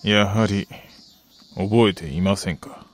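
恨みを抑えた丁寧な中年男. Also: male voice, fairly low pitch, no room sound. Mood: cold, guarded. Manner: formal, deliberate, accusing. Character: reproachful middle-aged brother-in-law, restrained, polite and bitter. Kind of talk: radio drama dialogue.

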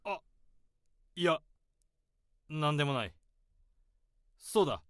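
A jittery overworked office drudge. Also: male voice, a little high, sing-song, slow, no room sound, studio quality. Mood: flustered. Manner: flustered in talk, tense first-person inner monologue. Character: overworked nervous office drudge man hiding a secret. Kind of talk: radio drama acting with narration.

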